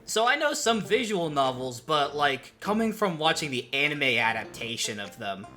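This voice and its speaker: A man's voice: a little high, very animated, normal speed, pushed and bright. A man hosting a casual scripted video essay, with a joking, sweary, self-interrupting manner.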